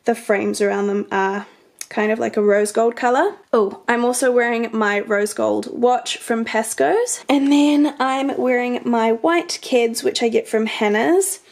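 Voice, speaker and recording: A woman's voice: fairly high pitch, unhurried, vocal fry. Chatty New Zealand woman with a cold. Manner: chatty, relaxed, upbeat. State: hoarse and congested from a cold. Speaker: fashion vlogger and teacher. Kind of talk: casual outfit vlog. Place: bedroom with a mirror, indoors. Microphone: camera's built-in mic, filmed in the mirror.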